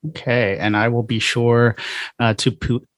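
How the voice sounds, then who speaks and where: A man's voice: medium pitch, flat delivery, measured pace, a bit bright, no echo. A man hosting a podcast in a conversational style, speaking directly to guest and listeners. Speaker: podcast host.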